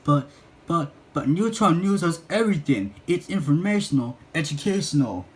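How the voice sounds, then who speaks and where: A man's voice: fairly high pitch, animated, moderate pace, pitched above the performer's own voice, lightly acted. A man voicing an eager, boastful boy genius from a cartoon, speaking in emphatic declarations, in a storybook read-along. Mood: dismayed.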